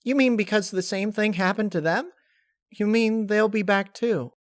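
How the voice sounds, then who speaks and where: A man's voice: high-pitched, normal speed, no room sound, studio quality, pitched above the performer's own voice, lightly acted. A man voicing a troubled but determined motherly female pony in a story reading, earnest and pleading. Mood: worried.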